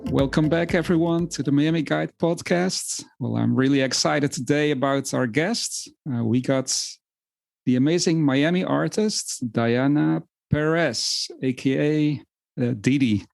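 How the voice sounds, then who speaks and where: A man's voice: a little high, measured pace, vocal fry, a little mellow. A man hosting a podcast, giving an upbeat introduction and then asking questions, often starting sentences with "well" and calling things amazing. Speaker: podcast host.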